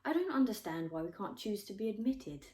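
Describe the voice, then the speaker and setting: A woman's voice: mid-range voice, very animated, brisk, a little mellow, natural voice. A woman voicing a stubborn, earnest sixteen-year-old girl in a storybook, sulky and protesting. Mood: indignant. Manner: protesting, mumbling.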